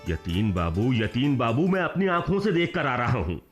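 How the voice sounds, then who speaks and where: A man's voice: medium pitch, big pitch swings, normal speed, over loud music, natural voice. An actor playing an anxious, loyal village man in a Hindi radio drama, speaking urgently and emphatically. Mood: alarmed.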